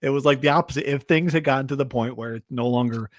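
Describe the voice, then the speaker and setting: A man's voice: mid-range voice, quick pace, no room sound. A man co-hosting a sports podcast, talking through games in a casual, conversational style.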